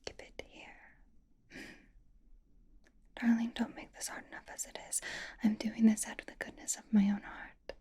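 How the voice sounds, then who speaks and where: A woman playing a seductive, possessive female vampire in an ASMR roleplay, teasing and doting in a soft, breathy whisper. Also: a little high, little pitch movement, natural voice. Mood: pleading, protective. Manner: teasing, doting, slow and deliberate.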